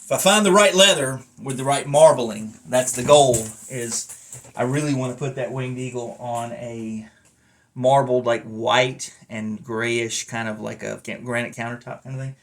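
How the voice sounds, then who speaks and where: A man with a middle-pitched voice gives an informal review as a hobbyist vlogger. He rambles conversationally and speaks bluntly. His manner is self-deprecating and unpolished, like someone talking off the cuff. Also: unhurried. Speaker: vlogger and wrestling belt collector reviewing a belt. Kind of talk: casual, rambling review talk to camera.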